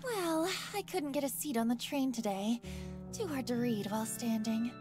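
A woman voicing a cool, sharp-tongued young female office temp in a visual novel, curt and dismissive. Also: on the high side, moderate pace, voice pushed down, close to an everyday voice. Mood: politely evasive. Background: game music.

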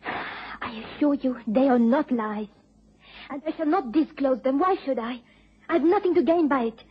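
An actress playing a proud, mysterious young woman in a radio drama, speaking formally and earnestly in measured phrases. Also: sits high, husky. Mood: earnest, wounded. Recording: dull old radio recording.

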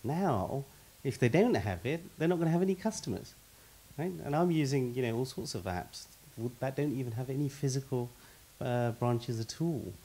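A man's voice: mid-range voice, expressive, moderate pace, dark tone, poor audio. A middle-aged man on a panel, talking expansively into a handheld mic and stacking examples, often repeating a word as he starts. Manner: expansive, repeats words when starting, gives lists of examples. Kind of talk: panel discussion answer. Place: stage in a meeting hall with audience.

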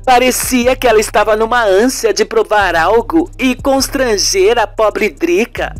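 A man's voice: very high voice, pitched above the performer's own voice. A man voicing a gossipy, suspicious wife in a radio serial, with an emphatic, colloquial way of talking.

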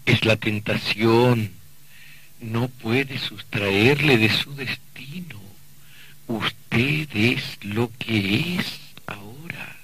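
An actor playing a solemn, commanding messenger of destiny in a radio drama. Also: male voice, medium pitch, drawn-out words, fairly bright tone, breathy edge, exaggerated voice, poor audio. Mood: solemn warning.

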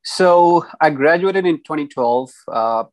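A man's voice: fairly high pitch, animated, slow pace, no room sound. A man from Pakistan in his thirties answers interview questions over a video call. He talks hesitantly, restarting often and leaning on "you know." He speaks through an earphone mic in a small room, and the sound is dry and close. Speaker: guest engineer. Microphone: earphone headset mic on video call.

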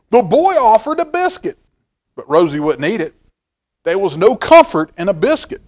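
A middle-aged man reading a picture book aloud to children in a folksy, expressive way, with chatty asides. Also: sits high. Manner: folksy, expressive, asking the listener questions. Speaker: library storytime reader. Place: indoor library children's room.

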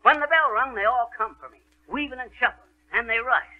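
An actor playing a boastful middle-aged American spinner of tall tales in folksy 1930s radio comedy style. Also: male voice, very high voice, narrow, tinny audio. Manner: folksy, bragging, drawn-out storytelling. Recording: scratchy 1930s radio recording, band-limited.

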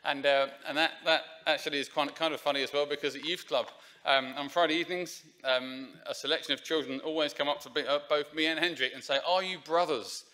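Bright-voiced preacher, chatty. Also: male voice, a little high, fairly even intonation, brisk, few pauses. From Essex, England. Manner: chatty, self-deprecating, many fillers and restarts. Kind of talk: informal sermon. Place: church sanctuary. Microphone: lectern microphone.

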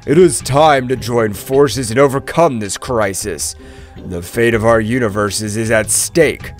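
A man's voice: mid-range voice, unhurried, faint music behind, pitched below the performer's own voice, lightly acted. A man voicing a solemn divine messenger who addresses the gods in an earnest, formal, declamatory way. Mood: resolute.

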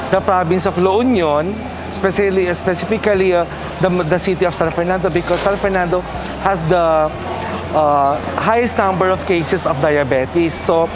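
A man, a health official in an interview, halting and mixing in English. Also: sits high, steady tone, background noise. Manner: halting, switches between Tagalog and English. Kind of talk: on-site interview.

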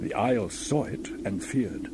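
A man's voice: lowish voice, lively intonation, a little slow, noisy background. A man reading the Bible aloud in a dramatized style, with solemn, theatrical, emphatic declamation. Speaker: Bible reader.

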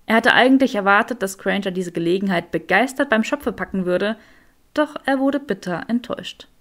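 A woman's voice: medium pitch, moderate pace, a bit bright. A woman reading scripted fiction as an audiobook narrator.

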